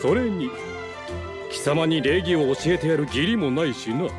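A man plays a haughty young-blooded noble of a fallen house in a game cutscene. His voice sits high for a man, pompous and full of scorn, with prominent music underneath. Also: lively intonation, normal speed, close to an everyday voice. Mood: scornful, proud. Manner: pompous, scornful, archaic lordly speech. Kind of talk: theatrical video game dialogue.

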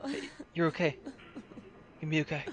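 A man's voice: a little high, sing-song, measured pace. A man improvising in-character roleplay over a video game, casual, hesitant and stammering. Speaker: gamer roleplaying the player character.

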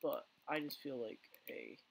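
Hoarse gravelly gaming commentator. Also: male voice, medium pitch, unhurried, slight background noise, rough recording. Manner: rambling with many fillers and restarts. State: hoarse, losing his voice. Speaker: gaming channel host. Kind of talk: casual let's play commentary.

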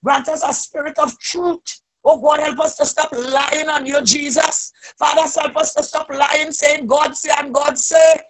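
A woman leading a fervent, extemporaneous church prayer, pleading with repeated invocations and a raspy voice that swells into loud cries. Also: high voice, fairly even intonation, a little slow, hardly any pauses, soft background music, low-quality recording.

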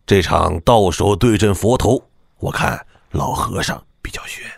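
An actor playing a gruff, gloating old swordsman with a gravelly voice in a wuxia audio drama. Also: fairly low pitch, measured pace, no echo, studio quality, full character voice. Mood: gossipy, grim. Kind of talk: Chinese wuxia radio drama acting.

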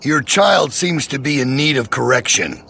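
An actor voicing a menacing, domineering middle-aged leader in a video game, preachy and measured with folksy menace. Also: male voice, medium pitch, expressive, gravelly, pushed and bright, breathy edge, full character voice. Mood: cold menace. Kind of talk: dramatic video game voice acting.

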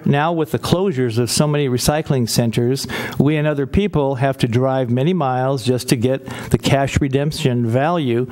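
Older man reading at a council dais. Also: medium pitch. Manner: warm, amused asides between read lines. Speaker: city council member. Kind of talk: reading a letter aloud at a council meeting. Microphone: desk gooseneck mic.

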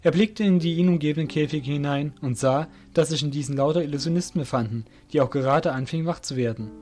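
A man's voice: on the high side, fast, a little mellow, soft background music. A man reading scripted narration for an audio drama as the narrator.

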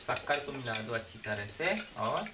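A man's voice: medium pitch, moderate pace. A man in his thirties chatting casually to viewers while making a drink at home on the floor of a village house. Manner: chatty, addresses viewers as friends. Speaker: vlogger. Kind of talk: casual home cooking vlog. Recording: loud background noise.

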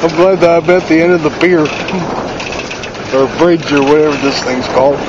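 A man's voice: a little high, normal speed. A man rambling casually in a folksy, colloquial way as he films himself an outdoor video, talking as if to himself. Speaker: amateur vlogger. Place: outdoors on a windy lake pier. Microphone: handheld camera or phone. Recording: muffled outdoor phone recording, loud wind noise. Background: wind and water noise.

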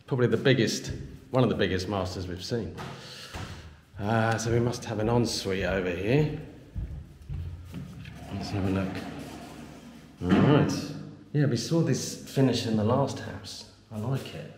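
A man's voice: fairly low pitch, measured pace, long pauses, darkish tone, echoey room, in a large hall. A man leads a casual tour of an empty house for sale, filming as he walks and chatting as he goes. He thinks aloud and slips into broken Thai phrases. His voice rings around the bare hard-floored rooms, and a faint hiss runs underneath. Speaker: vlogger touring a house for sale. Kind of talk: casual walk-through narration.

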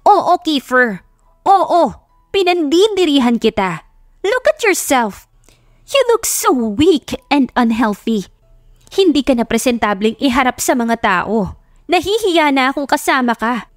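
A woman's voice: high voice, sing-song, a little slow, dry recording, clean studio sound, pitched above the performer's own voice. A woman voicing a fed-up, scornful young wife in an audio love story, emphatic and cutting. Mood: scornful, angry. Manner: emphatic, cutting, repeating herself.

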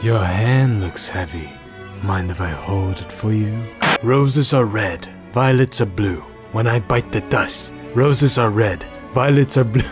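A man's voice: lowish voice, a little slow, close to an everyday voice. Man acting smug anime serial killer, music behind. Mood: flirty, smug. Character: smug male anime serial killer, middle-aged, creepily romantic. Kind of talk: comedic fan comic dub.

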